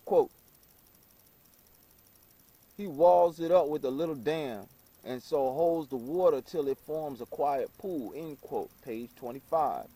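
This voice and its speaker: A man reading an old book aloud in a halting way, stumbling over words and repeating phrases. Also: on the high side, mellow. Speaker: reader.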